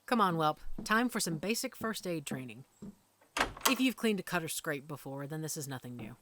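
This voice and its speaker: A woman playing a calm, protective werewolf mother who leads her pack in an audio drama, speaking with authority. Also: low voice, normal speed, heavy background noise. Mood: brisk, concerned. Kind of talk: audio roleplay acting.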